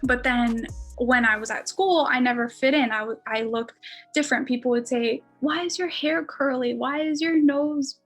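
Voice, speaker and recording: A woman's voice: high-pitched, normal speed, fairly bright tone, faint music behind. A woman in her twenties, a native Armenian speaker, casually telling her story as a podcast guest, with lots of "like"s and laughs. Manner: chatty, many "like"s, laughs often.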